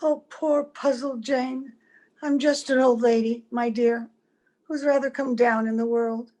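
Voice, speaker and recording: Woman acting a high-voiced, slow, wistful wife. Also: steady tone, mellow, lightly acted, poor audio. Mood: wistful, gentle. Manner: tender, wistful, measured. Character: gentle, wistful ageless wife, mysterious visitor, secretly old. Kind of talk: old-time radio drama acting. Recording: home video call with music.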